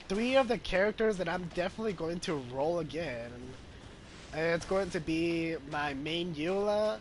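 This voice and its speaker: A man's voice: a little high, a little hiss or hum. A man giving casual gameplay commentary as a game streamer.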